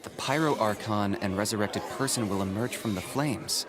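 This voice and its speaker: Man as low, composed warrior. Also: normal speed, a crowd in the background, close to an everyday voice. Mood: grave. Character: stern, level-headed male warrior, curt and protective. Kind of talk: English video game voice acting.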